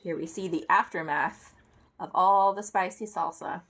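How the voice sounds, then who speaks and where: A woman in her thirties reading a children's picture book aloud at home, expressively, with theatrical emphasis and deliberate phrasing. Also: fairly low pitch, fairly bright tone, slight background noise. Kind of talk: animated read-aloud to children. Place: indoor room at home, seated at a table.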